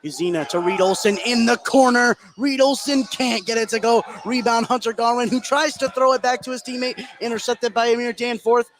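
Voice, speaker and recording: A man's voice: very high-pitched, a little fast, few pauses. A man doing live play-by-play radio commentary of a basketball game, his voice lifting as the action heats up. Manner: voice rises excitedly as shots go up. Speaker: play-by-play sports announcer. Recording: clearly noisy gym ambience. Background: sports gym noise.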